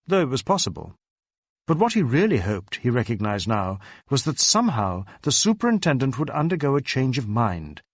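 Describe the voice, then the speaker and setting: Expressive male narrator with wide-ranging pitch. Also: dry recording, studio quality. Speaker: audiobook narrator. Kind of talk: scripted dramatic narration of a crime novel.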